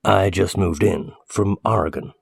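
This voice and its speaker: A man's voice: low-pitched, measured pace, vocal fry, husky, dry recording, clean studio sound, full character voice. A man voicing a guarded, uneasy stranger who talks carefully and tersely in a story.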